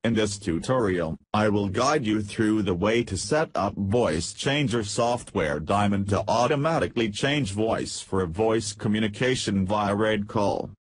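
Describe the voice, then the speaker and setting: Low, husky man narrating a tutorial. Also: measured pace, hardly any pauses, no echo. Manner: reading out step-by-step instructions. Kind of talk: scripted software tutorial narration.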